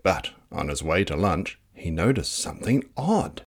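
A narrator reading a children's book aloud in a dramatic, playful, expressive style. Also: low-pitched, unhurried, husky. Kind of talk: expressive storybook read-aloud.

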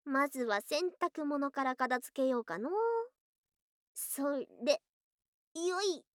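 A woman's voice: high voice, lively intonation, takes it slowly, no echo, clean studio sound. A woman playing a doting, possessive lamia yokai wife in an ASMR voice drama, with haughty but affectionate archaic speech. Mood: cheerful, devoted. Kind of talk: ASMR situation voice drama acting.

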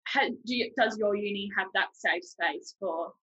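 A woman's voice: mid-range voice, moderate pace, sharp tone, breathy edge, dull audio. A young adult woman with a New Zealand accent, chatting informally and self-deprecatingly as a podcast host. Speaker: podcast host, university student. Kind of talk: casual chat.

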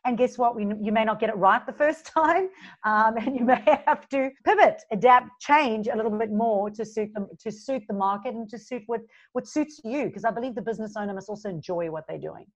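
A woman's voice: a little high, fairly quick. Bubbly South African woman, lo-fi sound. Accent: South African, mixed with Australian. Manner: bubbly, laughs often between phrases. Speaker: guest, business coach. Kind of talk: casual interview chat. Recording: slightly dull lo-fi sound.

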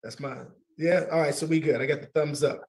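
A middle-aged man hosting a casual talk radio show, warm and laughing easily, often repeating his words. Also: a little high, fairly quick, creaky.

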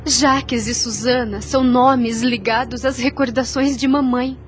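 A woman's voice: a little high, fairly even intonation, a little slow, close to an everyday voice. A woman playing a devout, self-sacrificing young woman in a radio soap opera, with earnest, formal, literary delivery. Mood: earnest recounting. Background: music under the voice.

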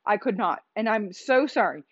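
A woman hosting her own podcast, talking casually in a loud, emphatic voice with many restarts. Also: medium pitch, lively intonation, normal speed, breathy edge, lo-fi sound. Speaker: podcast host. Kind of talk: casual solo podcast monologue.

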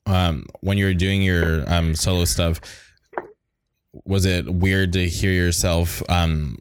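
A man interviewer asking questions in a halting way, full of ums and likes. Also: low voice, little pitch movement, slight background noise.

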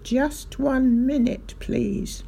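A woman's voice: sits high, steady tone, vocal fry, warm tone, husky, voice pushed up, heavily acted. A woman voicing a pompous, officious village police sergeant who puts h's in front of words. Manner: slow, deliberate, officious.

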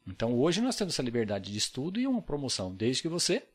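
A Brazilian man with a Brazilian accent, talking as a podcast guest in an earnest, reflective way. His voice moves a lot in pitch, rising and falling with each point. He often checks in with short tag questions as he goes. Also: wildly swooping pitch, quick pace. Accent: Brazilian Portuguese. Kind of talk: casual podcast conversation.

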